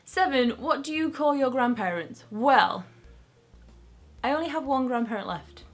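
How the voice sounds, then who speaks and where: A young woman with a broad Northern English accent talks straight to her viewers. Her voice sits fairly high, and she rambles in a chatty, self-mocking way. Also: a bit bright. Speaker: beauty vlogger. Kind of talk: casual chat to camera.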